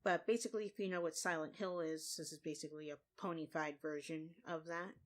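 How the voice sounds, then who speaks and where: A woman's voice: deep voice, steady tone, brisk. A woman hosting a reaction video, talking in a casual, rambling way with asides.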